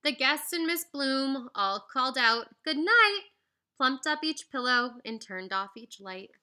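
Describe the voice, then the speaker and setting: A woman with a high, very bright voice reads a children's story aloud. She takes her time, giving the rhymes an animated, singsong lilt. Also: unhurried, clean tone. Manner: animated, singsong rhyming delivery with teacherly asides. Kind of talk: expressive children's picture book read-aloud.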